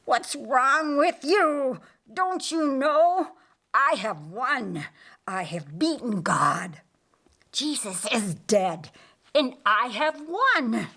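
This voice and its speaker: Audiobook villain: proud, bloodthirsty male fallen angel played by a woman, slow, gloating, menacing delivery. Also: high voice, animated, bright, forceful tone, voice pushed up, full character voice. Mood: shocked, defiant. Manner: menacing, savoring drawn-out words.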